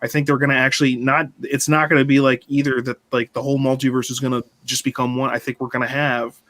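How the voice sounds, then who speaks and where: A man's voice: mid-range voice, little pitch movement, quick pace, a bit bright, no room sound. A man hosting a casual online fan discussion, talking loosely with many fillers. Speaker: podcast host.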